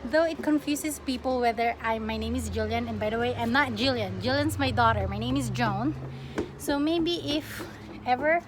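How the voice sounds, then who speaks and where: A young woman from the Philippines chatting casually as a plant vlogger, expressive and often breaking off mid-sentence. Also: on the high side, normal speed. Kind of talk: casual get-to-know-me question-and-answer chat. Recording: clearly noisy home recording.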